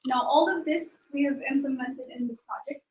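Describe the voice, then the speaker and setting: A woman's voice: sits high, normal speed, muffled recording, noisy background. A young woman from Ethiopia giving a prepared technical presentation as a student. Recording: echoey room.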